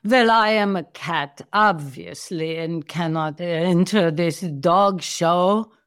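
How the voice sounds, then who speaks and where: Audio play: vain pedigree feline, acted by a woman, smooth resonant voice, unhurried and haughty. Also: fairly low pitch. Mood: indignant. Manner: haughty, deliberate. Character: haughty, vain female purebred Russian Blue cat. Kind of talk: theatrical audio drama acting.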